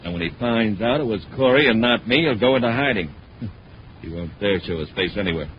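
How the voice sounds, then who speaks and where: A man's voice: lowish voice, lively intonation, a little fast, lightly acted. An actor playing a smug, scheming male villain, gloating and self-assured, in a 1950s radio serial. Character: smug, scheming male crook who runs a cargo racket. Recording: muffled, noisy 1950s radio recording.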